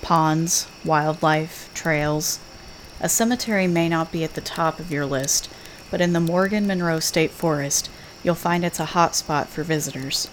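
A woman with a fairly low voice co-hosts a podcast. She reads eerie scripted scenes in a slow, hushed way and slips into giggly, animated chat with her sister, over a faint background hiss. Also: low-quality recording. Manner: slow, hushed storytelling, then giggly chatter. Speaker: podcast co-host. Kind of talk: scripted spooky narration and casual chat.

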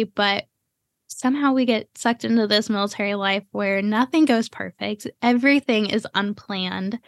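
A woman hosting a podcast in casual interview chat, talking quickly with lots of fillers. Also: medium pitch, dry recording, clean studio sound. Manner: chatty, quick, with frequent "you know" fillers. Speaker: podcast host.

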